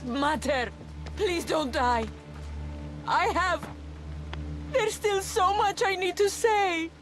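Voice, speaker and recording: Anguished, slow-speaking young woman warrior. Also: extremely high pitch. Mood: pleading, grieving. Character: grieving young woman warrior, bitter and fatalistic. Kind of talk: dramatic video game acting. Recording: music behind the voice.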